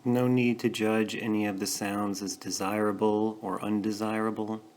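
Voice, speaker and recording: A man leading a guided meditation, speaking gently and in a measured way with pauses between short phrases. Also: lowish voice, little pitch movement. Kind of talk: guided meditation, calm instruction.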